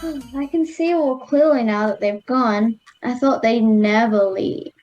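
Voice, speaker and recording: A woman's voice: on the high side, a little slow, muffled recording, music in the background, lightly acted. An Australian girl of ten or eleven playing a plucky, defiant girl from the past in an amateur radio play. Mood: curious. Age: child, 10 to 11. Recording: home video-call recording.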